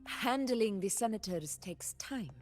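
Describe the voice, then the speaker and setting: A woman's voice: medium pitch, lively intonation, a little slow, reverberant, in a large hall, close to an everyday voice. A woman playing a proud, fearless young female ruler in an anime game, imperious and composed. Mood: composed, dryly teasing. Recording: game audio over background music.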